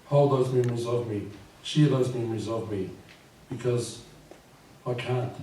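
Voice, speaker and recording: A man in his fifties with a croaky voice, reading his poems at a live event and speaking gently and unhurriedly. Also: medium pitch, measured pace, a little hiss or hum. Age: 50s. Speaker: poet. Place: live venue with audience. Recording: echoey room from far off.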